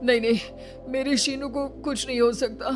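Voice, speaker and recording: A woman's voice: high voice, fairly even intonation, normal speed, lightly acted. A woman voicing a teasing young husband. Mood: worried. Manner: playful, then worried pleading. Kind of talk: cartoon dubbing. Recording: faint music behind.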